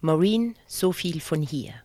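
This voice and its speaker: A performer playing a grave live radio reporter in a prison, speaking in a measured, solemn way with noise behind. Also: mid-range voice, expressive, dark tone, no room sound. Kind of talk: on-site reporting in a radio drama.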